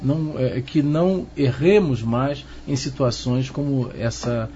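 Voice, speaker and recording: A dull, slightly noisy recording carries a middle-aged man with a Carioca accent. He speaks like a professor being interviewed, explaining step by step in a measured way and often seeking a nod of assent. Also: warm tone. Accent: Carioca Brazilian Portuguese. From Rio de Janeiro, Brazil. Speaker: interviewed expert, professor of security. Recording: muffled low-fi sound, noticeable background noise.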